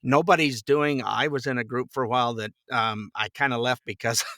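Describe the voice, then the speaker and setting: Bright-voiced older American man, folksy. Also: medium pitch, fairly even intonation, brisk, no room sound, clean studio sound. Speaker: podcast guest, voice actor and producer. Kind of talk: casual chat.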